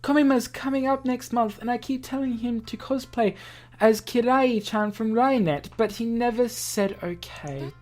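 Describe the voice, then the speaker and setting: A woman's voice: fairly high pitch, normal speed, a little mellow, pitched above the performer's own voice, close to an everyday voice. A woman voicing a cheerful, airy, teasing teenage girl in a visual novel, bubbly and innocent. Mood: eager coaxing. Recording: music behind the voice.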